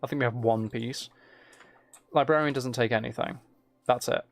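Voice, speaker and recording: Musing man with creaky voice, gaming. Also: mid-range voice, steady tone, normal speed. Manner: musing, self-talking, hesitant planning. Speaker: gaming streamer. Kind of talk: casual gameplay commentary, thinking aloud. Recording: keyboard clicks and typing noise. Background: faint noise, computer keyboard and mouse clicks.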